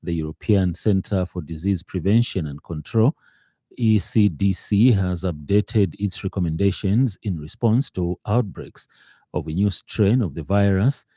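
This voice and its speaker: A man reads a formal radio news bulletin in a measured, slightly halting way. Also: low-pitched, mellow, husky, no echo, clean studio sound. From Zambia. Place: radio studio.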